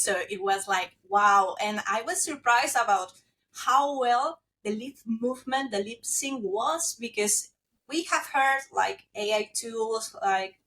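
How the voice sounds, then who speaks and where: A woman with a Spanish accent, speaking as a guest on a podcast. Her voice sits fairly high and she talks with lively energy. She sometimes stops to hunt for the right word. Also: rough recording. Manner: animated, searching for words. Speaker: linguist guest. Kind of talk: casual podcast chat.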